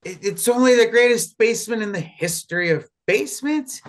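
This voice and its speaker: Woman doing an eager excitable kid-like enthusiast, highish bouncy voice, gushing, comedy radio play. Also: brisk, natural voice. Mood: excited, eager. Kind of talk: comic audio play acting.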